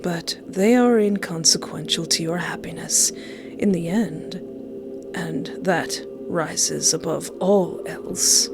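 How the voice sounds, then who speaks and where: A woman voicing a possessive, menacing wish-granter from a visual novel, speaking slowly in a hushed, deliberate way, with music behind. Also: lowish voice, vocal fry. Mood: coldly sinister. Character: sinister, smooth-talking wish-granter, possessive and menacing suitor.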